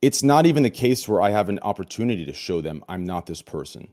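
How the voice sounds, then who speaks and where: A deep-voiced man chatting candidly as an interview guest, excitable and blunt, swearing casually and often checking that he is understood. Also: quick pace. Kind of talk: casual confessional chat.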